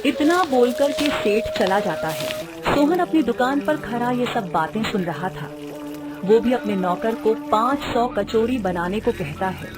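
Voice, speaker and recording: A woman's voice: fairly high pitch, lively intonation, measured pace. A woman narrating a scripted moral story for a cartoon. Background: music.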